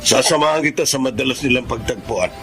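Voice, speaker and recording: A man's voice: medium pitch, fast talker, music in the background, natural voice. A Filipino man playing a blunt, grave, protective older brother in a radio drama. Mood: blunt, urging.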